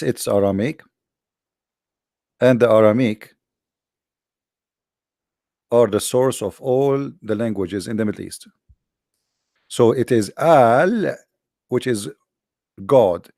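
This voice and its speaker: A man whose first language is Arabic, lecturing combatively over on-screen text, with many halting fillers and slow, drawn-out stress on key words. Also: mid-range voice, darkish tone, dry recording, clean studio sound. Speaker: religious commentator.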